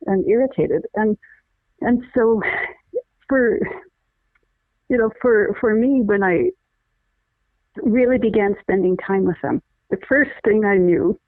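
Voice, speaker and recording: A woman's voice: medium pitch, dry recording. Woman guest, middle-aged, earnest explainer with many restarts; dry phone-line sound. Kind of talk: conversational interview, explaining.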